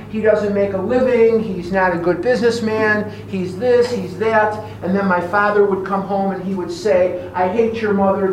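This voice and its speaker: Chicago guy sharing his story to a group, really echoey room, kinda high voice, emphatic. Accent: Chicago American. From Chicago, USA. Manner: emphatic, deliberate, repeats phrases for effect. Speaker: guest speaker at recovery meeting. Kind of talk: personal testimony speech to a group. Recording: very echoey room, distant mic, clear background noise.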